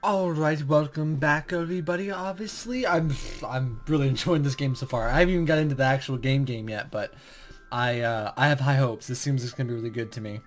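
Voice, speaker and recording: A man's voice: mid-range voice, fairly quick. A young man hosting a gaming channel, casual and enthusiastic, commenting and narrating from a visual novel with some stumbles. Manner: informal, enthusiastic, stumbles over reading.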